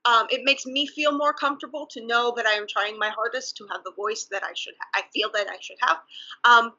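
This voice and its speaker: A woman speaking English with a Mexican accent in a deliberate, earnest way, often laughing softly between thoughts, in a casual conversation over a video call. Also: fairly high pitch. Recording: muffled video call audio.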